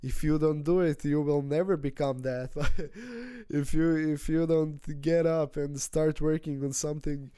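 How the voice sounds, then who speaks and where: Flat, mellow-voiced young man rambling. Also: fairly high pitch, smooth, no echo, studio quality. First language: not English. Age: 20s. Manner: rambling, halting, frequent restarts and nervous laughs. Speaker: vlogger. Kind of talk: casual personal vlog monologue.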